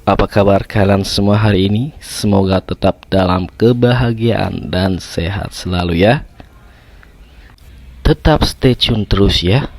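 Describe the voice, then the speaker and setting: A man with a Javanese accent hosting and telling horror stories radio-style, his voice slightly hoarse from a cold. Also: low-pitched, a little mellow. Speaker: host of a horror story show.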